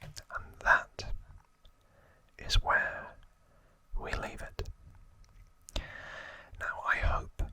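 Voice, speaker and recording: A man speaking in a breathy, gentle whisper, hosting an ASMR trivia explainer close to the microphone. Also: no room sound. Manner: slow, gentle, hushed delivery. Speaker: ASMR video creator and host.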